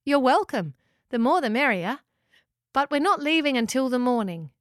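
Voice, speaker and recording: A woman's voice: high-pitched, big pitch swings, normal speed, dry recording, clean studio sound, pitched above the performer's own voice. A woman voicing a friendly, welcoming female talking house in a children's storybook.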